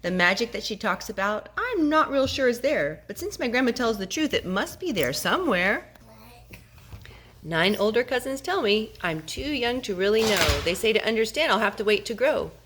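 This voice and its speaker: A woman reading a rhyming picture book aloud to young children, with expressive, gently dramatic storytelling. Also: fairly high pitch, normal speed, a little hiss or hum.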